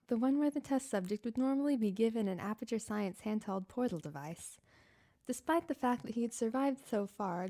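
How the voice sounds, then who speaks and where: A woman reading a fan-written story aloud in a steady, plain narrating voice. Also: a little high. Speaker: fanfiction reader.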